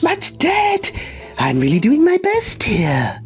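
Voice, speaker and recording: Manga dub: careless, overconfident young boss's son played by a woman, high-pitched, very animated, excitable, over background music. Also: slow pace, exaggerated voice. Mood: pleading, dejected. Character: cocky, careless young adult son of a boss. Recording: music under the voice.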